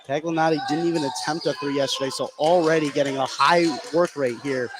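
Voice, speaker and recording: Higher-voiced male color commentator, crowd behind. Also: fairly even intonation, brisk. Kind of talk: conversational sports analysis. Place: indoor basketball gym, courtside broadcast. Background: crowd noise.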